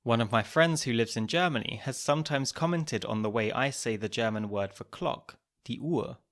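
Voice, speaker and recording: A man hosts a podcast, reading scripted narration in a calm, measured, explanatory way. His voice sits at an ordinary middle pitch for a man. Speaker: podcast host.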